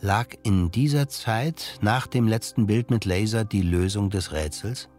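A man with a fairly low voice narrates an audio drama in scripted literary prose. Faint music runs behind him. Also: unhurried.

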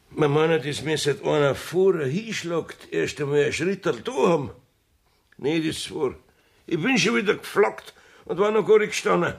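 An actor playing a battered, evasive village judge in a dialect radio play, grumbling and exclaiming in Bavarian with a gravelly, husky voice. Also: male voice, on the high side, full character voice. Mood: indignant.

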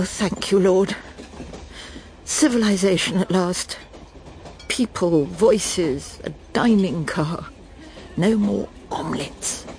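A woman's voice: medium pitch, a little mellow, a little hiss or hum. An actress playing a proper middle-aged Englishwoman in a radio drama, with an English accent. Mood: relieved, contrite. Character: smug middle-aged Englishwoman, lost and breaking down.

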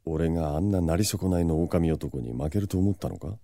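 A haughty vampire lord in an audio drama. His voice is very deep and dark, recorded dry and close. He talks brusquely, with cool self-assurance and a gruff protective streak. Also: moderate pace, no echo. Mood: scolding. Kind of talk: Japanese audio drama acting.

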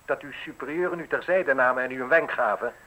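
An actor playing a defiant, sceptical newspaperman in a radio play, with a husky voice and a curt, probing delivery. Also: medium pitch, fast talker, bright, forceful tone, muffled recording, close to an everyday voice. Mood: challenging, accusing. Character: defiant, sceptical male newspaperman facing arrest, sharp-witted. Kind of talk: Dutch radio play acting.

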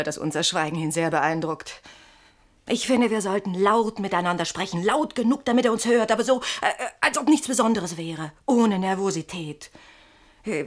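A pragmatic wife in a radio drama, played by a woman, speaking at a medium pitch. She reasons things out with dry scepticism, trying to talk sense into a nervous husband. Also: lively intonation, normal speed, close to an everyday voice. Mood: persuasive. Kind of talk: German radio play acting.

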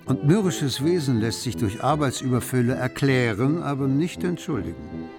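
An actor playing a wry literary narrator, telling the story in measured, scripted radio play style. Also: male voice, medium pitch, very animated, over music. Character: literary narrator, wry observer of bourgeois households.

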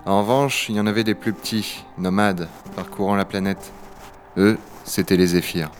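Man acting as low-voiced rugged dragon hunter. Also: steady tone, moderate pace, soft background music, lightly acted. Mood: grave, reflective. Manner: gravely reflective narration, familiar offhand banter. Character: rugged, wry male dragon hunter in a frozen future. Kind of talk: French audio-fiction acting and first-person narration.

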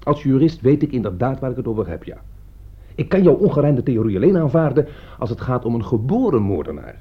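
An actor in a Dutch radio play playing an opinionated, touchy jurist who argues in a pedantic, scornful way. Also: male voice, mid-range voice, sing-song, brisk, husky. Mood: insistent, lecturing. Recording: dull, noisy old radio play recording.

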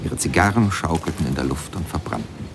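An actor playing a reflective former steamboat pianist who tells his memories in measured, lyrical radio drama narration. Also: low-pitched, background noise, close to an everyday voice. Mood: dreamy, reflective. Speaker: narrator and protagonist of a radio play.

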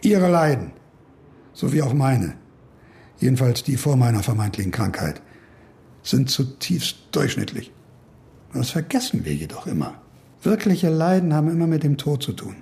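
Creaky, cantankerous older professor. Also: male voice, medium pitch, very animated, warm tone. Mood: brooding, philosophical. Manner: rambling, emphatic monologuing with musing pauses. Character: ailing older professor, brooding, cantankerous, philosophizing. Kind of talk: radio drama dialogue.

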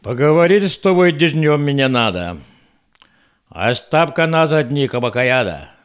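Male actor as a proud father, slow delivery, swooping intonation, declamatory, verse radio play. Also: medium pitch, animated, noisy background, lightly acted. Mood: solemn, persuasive. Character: proud native father offering his daughter in marriage. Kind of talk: verse audio drama acting.

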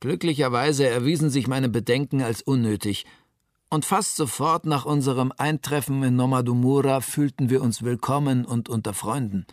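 Actor as a reflective husband, dry close sound. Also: male voice, mid-range voice, normal speed, a little mellow, dry recording, close to an everyday voice. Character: thoughtful husband recalling his flight from disaster. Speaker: narrator of a personal account. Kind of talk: scripted radio documentary narration.

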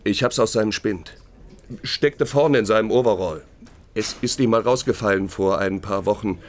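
Low-voiced, guarded working man played by an actor. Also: moderate pace, close to an everyday voice. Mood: offhand, reticent. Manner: colloquial, reticent, offhand. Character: guarded, streetwise male workmate, plain-spoken. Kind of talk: German radio crime drama dialogue.

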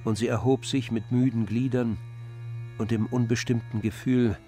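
A man giving scripted literary narration in a radio drama, in a measured, composed manner. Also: medium pitch, steady tone, warm tone, soft background music.

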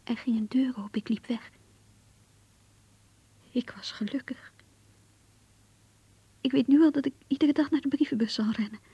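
An actress playing an earnest, lovestruck young woman confiding her diary in a radio play, in a hushed, breathy voice. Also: high-pitched, moderate pace, pauses often, no echo, heavily acted. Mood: yearning. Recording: muffled, lo-fi radio play recording.

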